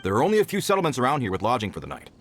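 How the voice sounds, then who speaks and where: Brisk, expressive search-party leader. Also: male voice, medium pitch. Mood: decisive. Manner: decisive, businesslike. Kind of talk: video game character acting.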